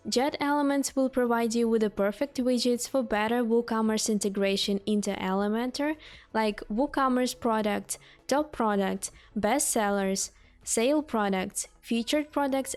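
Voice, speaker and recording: Female tutorial narrator, slightly high voice, scripted product walkthrough read with itemized, instructional delivery. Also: a little mellow. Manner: instructional, enumerating lists item by item.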